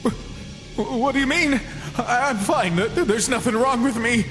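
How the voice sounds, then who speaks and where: A man's voice: extremely high pitch, a little slow. A man voicing a young, laid-back but wary skeleton monster in a comic dub, with casual, slangy speech. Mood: frightened. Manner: casual, slangy, stammers when frightened. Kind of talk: comic dub character acting. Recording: echoey voice over music.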